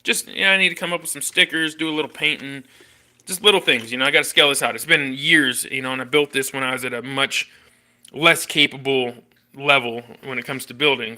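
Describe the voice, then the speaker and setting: Congested laid-back man, bright voice. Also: mid-range voice. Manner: rambling, laid-back, with fillers and slang. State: congested and coughing. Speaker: host of an RC hobby livestream. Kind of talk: casual livestream chat while showing a build. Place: home workshop or garage.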